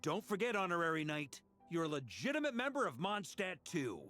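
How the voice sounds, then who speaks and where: A gutsy knight commander, rallying his people in a game. His voice sits a little high for a man and moves freely up and down, informal and sure of itself, over music. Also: big pitch swings, fairly quick. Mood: rallying, resolute. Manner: informal, rousing pep-talk delivery. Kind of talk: video game voice acting.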